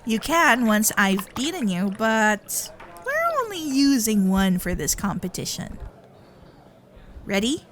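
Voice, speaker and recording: Woman acting a teasing kitsune girlfriend, lively and unhurried. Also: a little high, background noise. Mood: competitive, playful.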